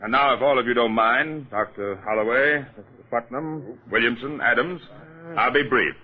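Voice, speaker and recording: An actor playing a brisk, shrewd police detective with an authoritative, clipped manner in a 1940s radio mystery. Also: male voice, medium pitch, close to an everyday voice. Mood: formal, controlled. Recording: muffled old-time radio recording.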